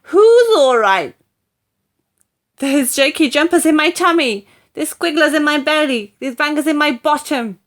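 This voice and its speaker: A woman's voice: high-pitched, animated, measured pace, pitched above the performer's own voice. A woman voicing a cranky, bossy old grandmother in a storybook reading, scolding and exclaiming. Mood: outraged.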